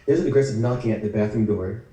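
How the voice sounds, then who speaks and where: Very mellow male narrator, echoey room. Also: fairly low pitch, quick pace. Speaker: narrator reading stage directions. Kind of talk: scripted narration. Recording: echoey room, distant video call mic.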